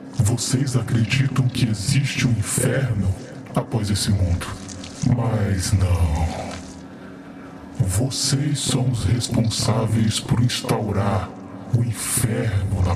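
Slow, echoing, sinister creature, played by a man. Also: lowish voice, over music, pitched below the performer's own voice. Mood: solemn, accusing. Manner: slow, solemn, accusing. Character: great sinister creature whispering accusations and temptation. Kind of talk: horror tabletop roleplay.

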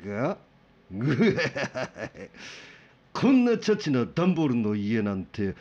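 A man playing a hungry, boastful, blustering wolf with rough, cocky speech in a children's radio drama. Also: medium pitch, sing-song, slow pace, warm tone, lo-fi sound, heavily acted. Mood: boastful, scheming.

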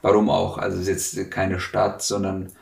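Low, gravelly man in an echoey room. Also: completely flat delivery, normal speed. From Russia. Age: 30s. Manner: hesitant, many filler sounds. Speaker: interviewee. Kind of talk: conversational interview. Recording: echoey room, distant mic.

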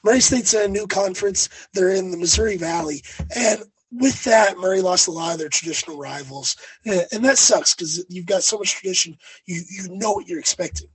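A young man co-hosting a sports podcast, talking casually with a hoarse, raspy voice after being sick, rambling and correcting himself. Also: sits high, brisk, few pauses, no echo, poor audio. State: hoarse, raspy from recent illness. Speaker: podcast co-host.

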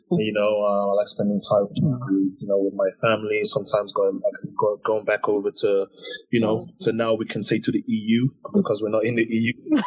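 A man's voice: lowish voice, a little mellow, husky, low-quality recording. A man in his twenties from the Netherlands, a podcast guest talking casually with many fillers and restarts. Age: 20s. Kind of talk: casual conversation.

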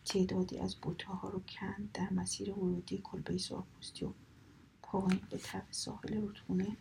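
A woman narrating a children's story entirely in a soft whisper. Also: mid-range voice, level tone, creaky, slight background noise, poor audio. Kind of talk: whispered bedtime story, ASMR.

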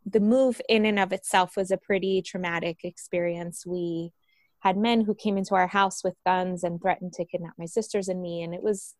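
A woman's voice: lowish voice, fairly even intonation, normal speed, no room sound. Earnest woman guest, American accent, from Colombia, dry recording. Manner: earnest, open storyteller. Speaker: guest, vitality coach and author. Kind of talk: casual interview storytelling.

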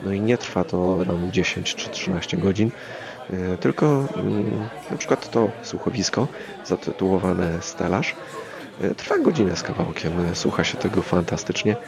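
Male podcast host, deep voice with vocal fry, loose rambling chat, street-like murmur around him. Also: lively intonation, moderate pace, crowd noise. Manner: hesitant, rambling, thinking aloud. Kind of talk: casual solo podcast chat.

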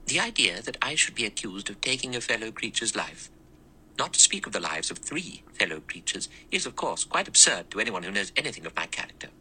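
A man's voice: on the low side, big pitch swings, brisk, bright, forceful tone, a little hiss or hum, voice pushed up, full character voice, poor audio. A man voicing an elderly stern spinster with a cold, prim, clipped delivery in a raised voice.

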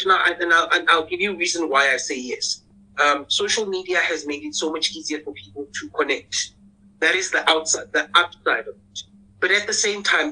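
A man with a squeaky voice, hosting a casual podcast panel in an earnest, reflective way and often repeating words as he starts. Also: bright, forceful tone, rough recording.

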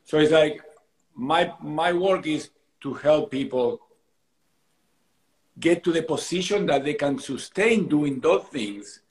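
A man's voice: on the high side, lively intonation, takes it slowly, roomy sound. A man, a movement coach explaining his work earnestly on a call, tagging sentences with "you know" and "right?". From Argentina. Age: 40s. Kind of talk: casual conversation.

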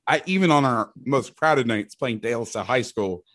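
A middle-aged man with a loud voice, a football coach explaining schemes in loose, rambling chat. His pitch sits at an ordinary middle for a man. The sound is close and dry, with no room around it. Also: lively intonation, normal speed, dry recording. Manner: rambling, restarts sentences, occasional mild swearing. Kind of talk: casual podcast chat, explaining defensive schemes.

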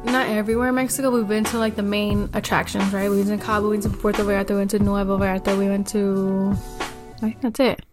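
A woman's voice: mid-range voice, level tone, quick pace. A young woman chatting casually as a podcast co-host, swearing freely and acting out her own past remarks. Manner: chatty, swears freely, quotes herself in asides. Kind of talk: casual chat. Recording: music playing behind the talk.

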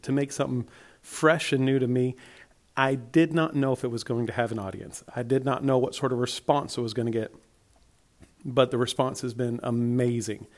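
A man's voice: mid-range voice, a little mellow. A man from Alabama with a Southern accent, chatting through a solo podcast in a friendly, rambling way, his voice worn from a tiring week. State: tired, voice worn. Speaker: podcast host.

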